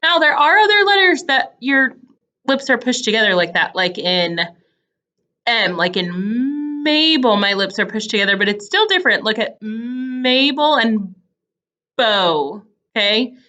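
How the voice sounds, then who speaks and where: A woman teacher giving a phonics lesson to young children, deliberately sounding out words in pieces and repeating questions to check understanding. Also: on the high side, lively intonation, bright, forceful tone, lo-fi sound. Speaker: early elementary teacher.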